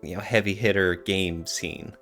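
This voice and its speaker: A man's voice: low-pitched, steady tone, moderate pace, fairly bright tone, soft background music. A man talking casually and explaining things as a podcast co-host.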